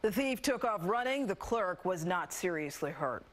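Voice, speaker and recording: A woman's voice: lowish voice, expressive, normal speed. A woman with an American accent reads scripted TV news as an anchor. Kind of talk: scripted newscast narration.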